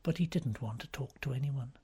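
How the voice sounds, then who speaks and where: A man narrates a literary novel in a husky, breathy voice that sits at a medium pitch. His delivery is that of a scripted audiobook reader. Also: brisk, mellow.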